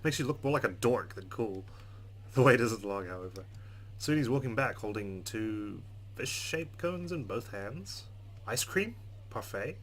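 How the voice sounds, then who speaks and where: A man's voice: fairly low pitch, expressive, measured pace, a bit bright, slight background noise. A man hosting a let's play, reading game narration expressively with casual, playful asides. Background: game music.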